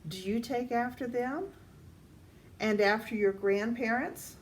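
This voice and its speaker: An older woman reads a children's picture book aloud in a deliberate way, addressing young listeners. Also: a little high. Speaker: storytime reader. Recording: distant mic, faint background noise.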